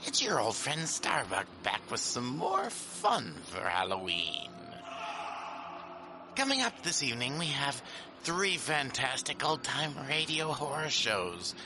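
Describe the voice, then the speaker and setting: A man playing a gleeful, creepy gravekeeper who hosts a horror show with theatrical, mock-friendly, drawn-out scripted introductions. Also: mid-range voice, wildly swooping pitch, background noise. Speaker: host. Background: music.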